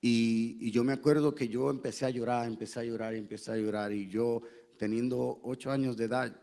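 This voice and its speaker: A man, a pastor, tells his faith testimony in a church interview in an earnest, halting way, pausing on many fillers and also interpreting his wife's words. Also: mid-range voice, warm tone. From Puerto Rico. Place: church sanctuary.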